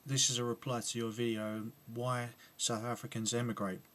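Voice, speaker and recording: A man's voice: medium pitch, level tone, moderate pace, a bit bright. A man with an English accent giving a spoken video reply, hesitant and full of ums and restarts. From England. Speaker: video blogger replying to another video. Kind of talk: casual spoken commentary to camera.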